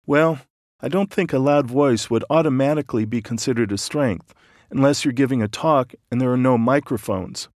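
A man narrating an audiobook, calm and measured, explaining ideas patiently. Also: mid-range voice, no echo, clean studio sound.

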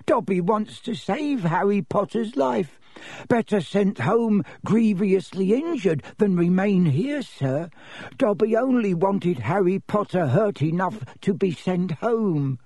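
A man's voice: high-pitched, expressive, takes it slowly, mellow, no room sound, studio quality, voice pushed up, full character voice. A man voicing a small, servile, fearful house elf in a storybook, pleading and obsequious, speaking of himself in the third person. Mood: anxious, pleading.

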